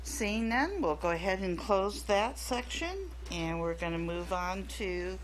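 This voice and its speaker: A woman's voice: mid-range voice, expressive, measured pace, a little hiss or hum, low-quality recording. A woman chairing a school board meeting, formal and procedural as she moves through the agenda at a table microphone.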